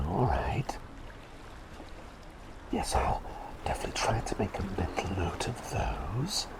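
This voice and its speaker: A man voicing a kindly, forgetful doctor turned talking bird in a gentle ASMR roleplay, breathy and half-whispered, often hesitating and repeating his phrases. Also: a bass voice, exaggerated voice. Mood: gentle, musing. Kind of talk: ASMR roleplay with personal attention.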